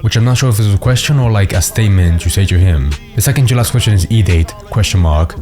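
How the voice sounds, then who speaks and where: A man's voice: lowish voice, quick pace. A man narrating casual commentary, peppering his talk with "like". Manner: casual, filler "like" throughout. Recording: voice-over with music behind.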